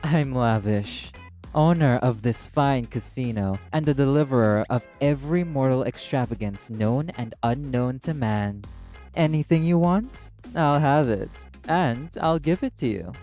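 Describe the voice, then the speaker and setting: A man voicing a flamboyant, courtly arch-demon casino owner in an audio roleplay, theatrical and drawn-out, flattering with a predatory charm. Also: medium pitch.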